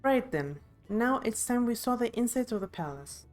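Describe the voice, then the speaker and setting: A woman's voice: on the high side, animated, moderate pace, soft background music, natural voice. Woman voicing an eager young princess adventurer. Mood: upbeat, eager. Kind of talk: voicing unvoiced game dialogue.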